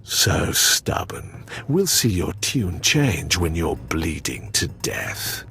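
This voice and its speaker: Deep, menacing, sneering Sith enforcer. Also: male voice, wildly swooping pitch, bright, forceful tone, faint music behind, exaggerated voice. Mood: taunting. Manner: taunting, deliberate. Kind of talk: video game villain acting.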